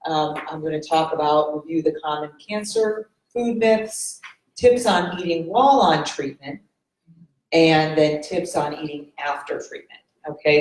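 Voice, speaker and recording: A woman's voice: low voice, darkish tone. A woman oncologist moderating and giving a conference talk, chatty with many fillers, laughing at herself. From United States. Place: conference hall. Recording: roomy hall sound.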